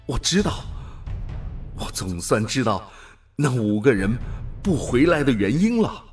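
A man playing a dutiful, awestruck space explorer in a radio drama, with a reflective, emotive delivery. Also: lowish voice. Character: dutiful male human explorer, transformed, torn and awestruck. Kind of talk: dramatic radio play acting.

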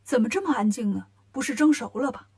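A woman voicing a cocky, quick-witted male monkey king in a children's story, bossy and colloquial. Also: fairly high pitch, expressive, a little mellow, slightly rough. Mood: worried.

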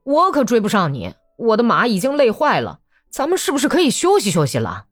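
A worn-out male orderly, as a woman reader puts him on, complains and pleads in a raised, lively voice. The audiobook sound is dry and close, with faint music underneath. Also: on the high side, fairly quick, no room sound, pitched above the performer's own voice. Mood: grumbling, exasperated. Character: grumbling weary male soldier orderly.

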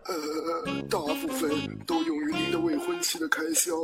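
A man's voice: on the high side, big pitch swings, normal speed. An actor voicing a fawning, nervous male minion of a demon lord, obsequious and stammering, in an amateur radio drama. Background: loud background music.

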